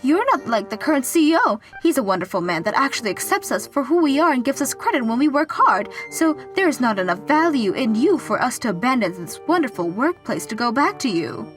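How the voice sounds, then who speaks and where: Woman playing high-voiced, outspoken loyal young employee. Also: big pitch swings, brisk, hardly any pauses, soft background music. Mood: defiant, loyal. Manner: assertive, reproachful. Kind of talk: manga dub character acting.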